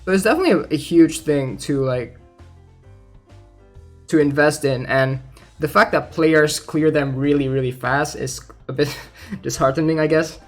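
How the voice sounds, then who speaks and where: A young man giving casual, informal game news commentary as a YouTuber, thinking out loud in a hesitant way. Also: fairly high pitch, moderate pace. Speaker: gaming YouTuber. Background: music.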